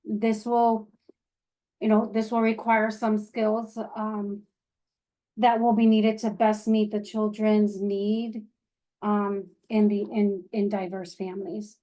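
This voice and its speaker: A woman co-presenting a training session, reading material hesitantly with many pauses and restarts. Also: medium pitch, level tone, dark tone. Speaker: co-facilitator.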